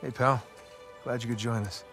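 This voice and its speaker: A man playing a weary, wry adventurer in his thirties in a cinematic game, speaking naturally with halting understatement. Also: medium pitch, fairly even intonation, music in the background, close to an everyday voice. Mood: urgent, businesslike.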